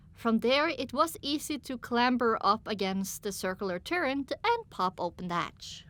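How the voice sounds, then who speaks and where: A woman narrating a scripted first-person story as an audiobook, with an expressive, dramatic delivery. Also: a little high.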